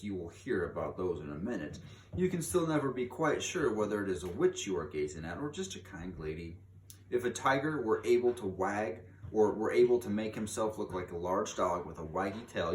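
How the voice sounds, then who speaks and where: A man reading a children's book aloud in a theatrical, emphatic manner, drawing out key words for drama. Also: lowish voice. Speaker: read-aloud storyteller. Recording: distant mic, echoey room, background noise.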